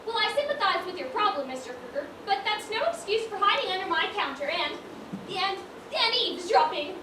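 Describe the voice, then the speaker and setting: High-voiced, brisk shopkeeper lady played by a woman, far off. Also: very animated. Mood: indignant. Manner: scolding, emphatic, formal. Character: brisk, exasperated, proper lady dress shop proprietor. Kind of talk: amateur stage play acting. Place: large indoor performance space, far from mic. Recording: distant, echoey stage recording with loud noise.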